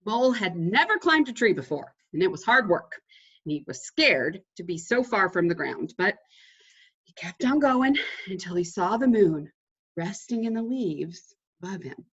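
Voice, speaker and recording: A woman reading a children's storybook aloud in an animated, dramatic way, with a husky voice. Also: medium pitch, moderate pace, dull audio.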